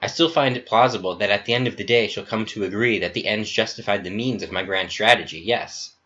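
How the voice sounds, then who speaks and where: A man's voice: lowish voice, brisk, bright, forceful tone, muffled recording. A man voicing a calculating, self-assured artificial human rebel leader in a breezy, matter-of-fact, chummy manner. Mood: calm, self-justifying.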